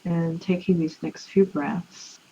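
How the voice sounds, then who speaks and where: Low, mellow woman guiding meditation. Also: steady tone. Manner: unhurried, soothing, with long pauses. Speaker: guided meditation teacher. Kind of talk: guided relaxation meditation. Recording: muffled, with clear background noise.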